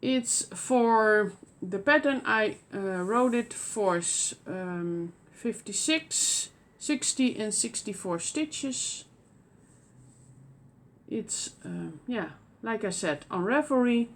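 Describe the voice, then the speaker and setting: Slow, hesitant Dutch woman podcaster. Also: medium pitch, animated, slight background noise. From Netherlands. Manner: hesitant, many fillers and restarts. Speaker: knitting podcast host. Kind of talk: casual show-and-tell chat.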